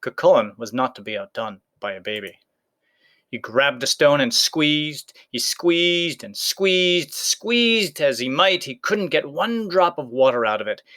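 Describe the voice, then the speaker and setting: A man narrates a storybook tale. His voice is bright and fairly high, with very lively movement in pitch as he reads aloud. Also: unhurried. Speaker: story reader.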